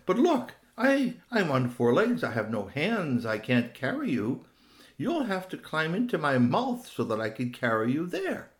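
A man's voice: a little high, huge pitch swings, unhurried, echoey room, pitched above the performer's own voice. A man voicing a big cunning fox in a children's story, smug and slippery, with a mock-formal, musing manner. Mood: scheming.

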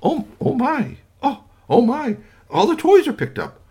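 A man voicing a mother scolding her young son, with high, shrill exclamations. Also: lively intonation, brisk, darkish tone, husky. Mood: astonished.

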